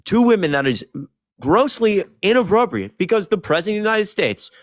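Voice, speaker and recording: Young guy arguing on a livestream, bit high pitched, sweary and emphatic, dry sound. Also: sing-song, fairly quick, no echo. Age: early 20s. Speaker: debater on a live stream. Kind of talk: heated live debate.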